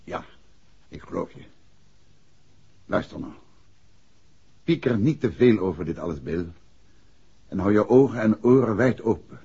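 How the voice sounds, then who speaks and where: An actor playing a calm, probing gentleman detective in a 1960s Dutch radio drama. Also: fairly low pitch, unhurried, gravelly, long pauses, husky, heavily acted. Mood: reassuring, firm. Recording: dull 1960s radio recording.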